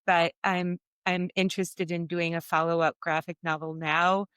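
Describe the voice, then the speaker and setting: Guest: middle-aged woman, low smooth voice, dry close sound, reflective and quick to laugh. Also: little pitch movement, no room sound. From Germany. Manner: warm, reflective, laughs often. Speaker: guest artist and author. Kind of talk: conversational interview.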